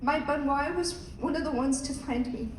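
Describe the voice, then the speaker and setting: Young woman, high voice, slow measured delivery, pageant speech, echoey hall heard from afar, faint background hum. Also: a little mellow, far from the mic. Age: young adult. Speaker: pageant contestant. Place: large hall.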